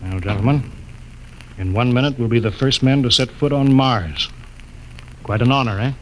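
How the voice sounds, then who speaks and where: An actor playing a brisk, authoritative male spaceship captain in a 1950s radio drama. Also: mid-range voice, background noise, lightly acted. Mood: commanding.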